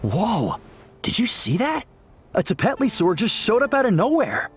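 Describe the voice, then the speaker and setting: A man's voice: sits high, huge pitch swings, moderate pace, exaggerated voice. A man voicing a puzzled, earnest male worker in a video game. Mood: astonished. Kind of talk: video game character dialogue.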